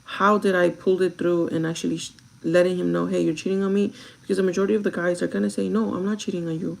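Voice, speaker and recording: A young woman with a gravelly, groggy voice chats casually and directly to her viewers, giving advice in an informal vlog style. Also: on the low side, flat delivery, fast talker, low-quality recording. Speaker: vlogger chatting while doing makeup.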